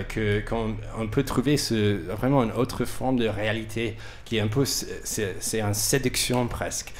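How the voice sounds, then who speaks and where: A man's voice: lowish voice, a little hiss or hum. A man hosting a radio interview in French as a second language, searching for his words and often repeating himself. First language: not French, speaks it as a second language. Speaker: radio host interviewing guests. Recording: music playing behind the voice. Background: music.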